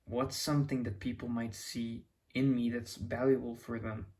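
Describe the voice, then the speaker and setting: A man's voice: medium pitch, flat delivery, moderate pace, a little mellow. Young man with a Mexican accent rambling. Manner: rambling, searching for words, many restarts. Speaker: YouTuber. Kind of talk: unscripted reflective monologue.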